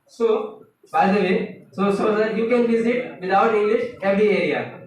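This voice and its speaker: A young man with an Indian accent, a student debating in English in class, halting and restarting phrases. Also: high voice, a little hiss or hum. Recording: echoey room, far from mic.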